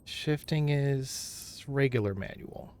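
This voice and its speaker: A man chatting casually on a gaming livestream, thinking aloud in a halting way. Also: mid-range voice, a little mellow, clear, resonant tone. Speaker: game livestreamer.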